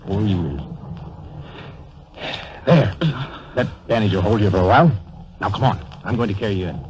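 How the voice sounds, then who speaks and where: A man's voice: on the low side, lively intonation, moderate pace, darkish tone, close to an everyday voice. An actor playing a loyal, remorseful soldier comforting his comrade in a 1930s radio drama. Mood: encouraging. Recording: dull, lo-fi 1930s radio broadcast.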